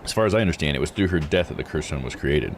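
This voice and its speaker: A man's voice: a bass voice, animated, rapid-fire, a little hiss or hum. A man giving live video game commentary, musing and thinking aloud as he plays. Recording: music under the voice. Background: game music.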